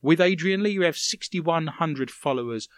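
British guy hosting a radio show, highish voice that swoops about, teasing dry jokes, close dry sound. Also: animated, normal speed, clean tone, no room sound. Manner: teasing, dryly jokey. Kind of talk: comic banter and reading listener messages.

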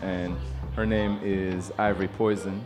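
A man's voice: low-pitched, flat delivery, a little slow, music in the background. A man hosting a radio show, laid-back and slangy as he introduces a DJ guest. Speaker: radio host. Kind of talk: casual radio interview. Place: storefront music bar.

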